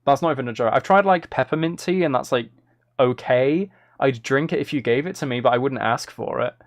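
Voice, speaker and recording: A man's voice: mid-range voice, a little fast, no echo, studio quality. A British man thinking aloud in a rambling, casual way, like a streamer chatting while he works on music. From Britain. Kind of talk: casual chat while making music on a livestream. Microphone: streaming microphone, close.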